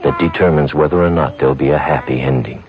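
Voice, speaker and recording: Very deep-voiced man reading a radio ad. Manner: calm, measured reassuring read. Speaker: commercial announcer. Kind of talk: scripted radio ad voice-over. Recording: dull lo-fi vintage radio ad with music bed.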